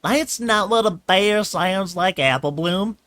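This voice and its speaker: A reader voicing an excitable little filly pony girl, chatty and pleading in a squeaky voice. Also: takes it slowly, no echo. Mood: excited. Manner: squeaky, shrill and bouncy.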